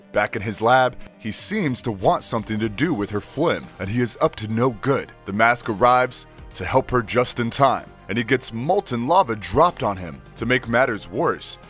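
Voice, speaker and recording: A man's voice: mid-range voice, fairly bright tone. Man narrating cartoon recaps over music. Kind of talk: scripted narration of cartoon episodes.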